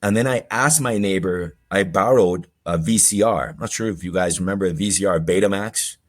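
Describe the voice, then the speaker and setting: Male podcast guest, forties, deep voice, halting personal storyteller. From Colombia. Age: 40s.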